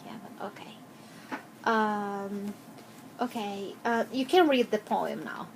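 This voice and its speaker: A woman interviewing a child, asking gentle, patient questions with frequent hesitations. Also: mid-range voice, slow, vocal fry, a little hiss or hum, low-quality recording.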